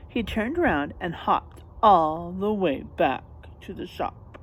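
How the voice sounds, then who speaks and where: A woman reading a picture book aloud to young children in an expressive, playful manner, with drawn-out emphasis and chatty asides. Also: lowish voice, slightly rough, poor audio. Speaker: read-aloud storyteller.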